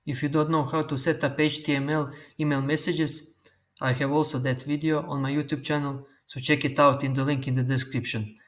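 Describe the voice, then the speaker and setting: Man explaining software steps. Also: a little high, level tone. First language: Croatian. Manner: slow, step-by-step instructions. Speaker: tutorial host. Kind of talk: screen-recorded software tutorial.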